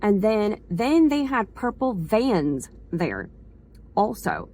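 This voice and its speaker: A woman with a hoarse voice from a cold, chatting in a casual, rambling vlog as she circles back to restate things. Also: medium pitch, very animated, slow, warm tone. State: hoarse from a cold, slight sore throat. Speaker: vlogger, school staff member.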